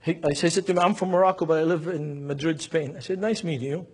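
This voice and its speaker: A man's voice: fairly high pitch, fairly even intonation, fast talker, a little mellow, slightly rough. A man from Lebanon with a Lebanese Arabic accent, preaching at a seminar with emphatic repetition, acted-out dialogue and rhetorical questions. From Beirut, Lebanon. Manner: emphatic, acts out dialogue, repeats key phrases.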